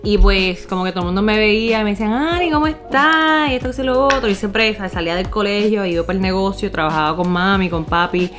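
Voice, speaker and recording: A woman's voice: mid-range voice, fast, hardly any pauses, fairly bright tone, faint music behind. A woman in her twenties from Puerto Rico, with a Puerto Rican accent, telling personal stories in casual, slangy talk mixed with English words. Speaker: vlogger. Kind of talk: casual chat, personal storytelling. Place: living room at home.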